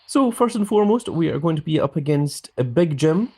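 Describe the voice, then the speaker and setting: A man's voice: on the high side, animated, fairly quick, dark tone, breathy edge. Chatty man reading out stream chat. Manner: chatty and friendly, with frequent ums and uhs. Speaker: live game streamer. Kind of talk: casual live-stream chat, reading viewer comments.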